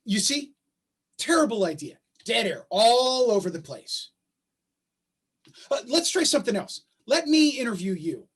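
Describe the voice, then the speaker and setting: A man acts out a worn husband in a stage drama read over a video call. His voice is husky and his delivery is sarcastic and needling, with bitterness under it. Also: sits high, sing-song, a little slow, long pauses, heavily acted. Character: bitter, sarcastic husband caring for a sick child. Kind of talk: staged play reading.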